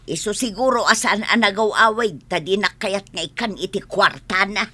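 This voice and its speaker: A woman playing an aggrieved, plaintive village wife in an Ilocano comedy radio drama, complaining in a drawn-out, dramatic way. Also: medium pitch, pushed and bright. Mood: nagging.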